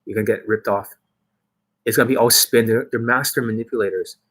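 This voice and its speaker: A man's voice: lowish voice, fairly even intonation, fairly quick, a bit bright, breathy edge. A young man and dating coach delivering a blunt, swearing monologue full of rhetorical questions to his viewers. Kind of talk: ranting monologue to camera.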